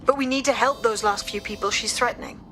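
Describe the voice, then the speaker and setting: A woman's voice: fairly high pitch, fast, sharp tone, faint music behind. A woman playing a firm, loyal resistance ally in a video game, earnest. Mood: urgent, firm. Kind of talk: video game cutscene acting.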